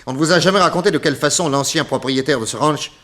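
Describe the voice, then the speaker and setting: An actor playing an assured, courteous private detective in an articulate, theatrical 1960s French radio serial style. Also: male voice, a little high, fast, a bit bright, close to an everyday voice. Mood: insistent. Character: famous male private detective, assured, indignant under accusation.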